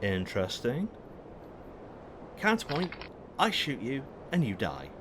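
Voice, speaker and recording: A man's voice: mid-range voice, huge pitch swings, slow, background noise. A man playing a cocky, flirtatious, trigger-happy space rogue in an audio drama, with playful, teasing, theatrical delivery. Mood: challenging.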